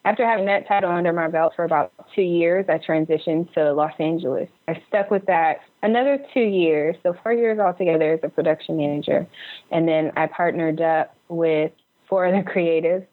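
A woman's voice: deep voice, steady tone, normal speed. A young woman from Houston, Texas, talking conversationally as an interview guest on a lo-fi connection. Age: young adult. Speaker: interview guest, actor and director.

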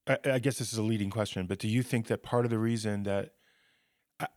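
A man's voice: on the low side, fairly even intonation, quick pace, darkish tone, dry recording, studio quality. A man co-hosting a podcast, asking probing questions in an earnest, somewhat frustrated way. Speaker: podcast co-host. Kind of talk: podcast conversation.

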